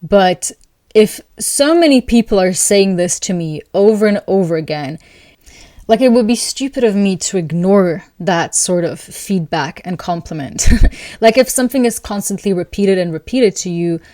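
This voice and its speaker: A woman hosting an astrology video, talking calmly and thoughtfully to camera, with soft laughs between thoughts. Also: mid-range voice, moderate pace, dry recording, clean studio sound. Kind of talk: casual spoken reflection to camera.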